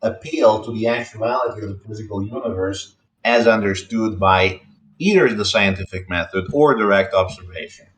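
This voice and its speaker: A man who grew up in Italy speaks slightly formal English as a second language, cutting in to question and press on each step of the argument. His voice sits on the lower side. He talks over a voice call from home. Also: fairly bright tone, a little hiss or hum, rough recording. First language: Italian. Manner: interrupting, probing, deliberate. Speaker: debater. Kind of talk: casual online debate. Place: family home.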